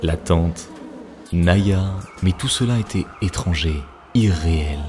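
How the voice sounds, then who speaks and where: A man's voice: sits low, slow, noisy background. A man reading scripted narration as a storyteller.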